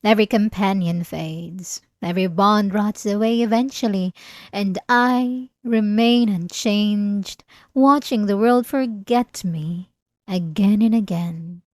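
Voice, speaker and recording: An ancient vampire woman, acted by a female performer speaks slowly in a close, dry ASMR roleplay. She is possessive and seductive, drawing out her phrases with theatrical, teasing menace and a lonely ache. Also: medium pitch, darkish tone, dry recording, lightly acted. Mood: lonely, wistful. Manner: dramatic, teasing, drawn-out delivery with breathy asides.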